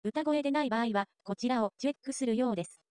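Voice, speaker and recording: A woman reading scripted narration for a software tutorial. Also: a little high, fast, dry recording, studio quality.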